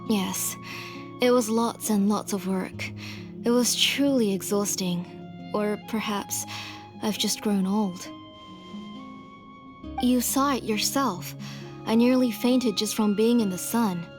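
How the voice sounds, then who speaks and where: A woman's voice: mid-range voice, slow pace, long pauses, music in the background, close to an everyday voice. Woman playing an earnest, aging devoted mother. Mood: weary, resolute. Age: older. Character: aging, devoted mother and master name engraver. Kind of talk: scripted video game voice acting.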